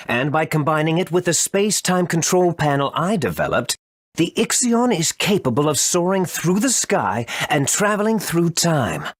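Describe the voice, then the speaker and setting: Earnest scientist, lively pitch. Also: male voice, no echo, clean studio sound. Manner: formal, earnest, explanatory. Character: apologetic, earnest male scientist, grandson of a villain. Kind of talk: English video game voice acting.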